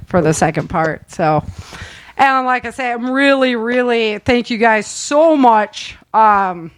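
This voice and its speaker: A woman's voice: mid-range voice, no room sound. A woman hosting a casual group chat in a chatty, appreciative way, often breaking into laughter. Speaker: host and show producer.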